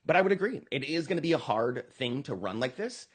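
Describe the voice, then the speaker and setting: An American man speaking as an interviewed political candidate, earnestly explaining his campaign pitch in a conversational, emphatic way. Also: sing-song, brisk, husky.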